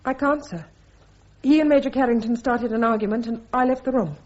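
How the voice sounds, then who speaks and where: A woman's voice: fairly high pitch, fairly quick, a little mellow, natural voice. An actress playing a dutiful female army captain giving evidence in a radio drama, formal, precise and earnest. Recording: dull, band-limited radio recording.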